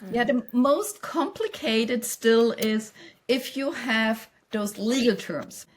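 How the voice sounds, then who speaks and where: A woman's voice: fairly high pitch, expressive, takes it slowly, low-quality recording. A woman who speaks English as a non-native language, answering conversationally as a company executive in a podcast interview. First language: not English.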